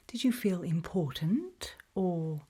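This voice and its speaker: A British woman whispering in a breathy voice, asking gentle, measured questions as an interviewer in an ASMR roleplay. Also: lowish voice, lively intonation.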